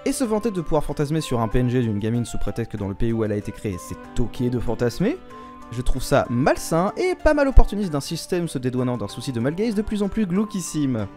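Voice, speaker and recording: A man delivers scripted commentary over background music. His voice is mellow and very lively in pitch. He argues quickly and emphatically, with casually crude turns of phrase. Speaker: host of a manga debate show. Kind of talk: scripted opinion commentary staged as a mock trial. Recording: music behind the voice.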